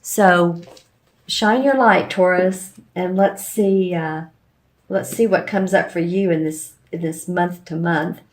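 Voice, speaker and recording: A woman giving a casual, rambling tarot reading to her viewers, with a slightly hoarse voice and plenty of fillers and restarts. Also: on the low side, slow pace. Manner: meandering, with frequent fillers and restarts. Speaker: tarot reader.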